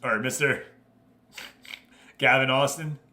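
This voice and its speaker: A man's voice: medium pitch, steady tone, takes it slowly, bright, forceful tone. A man hosting an online football management game league, chatting casually and informally with his viewers as he commentates.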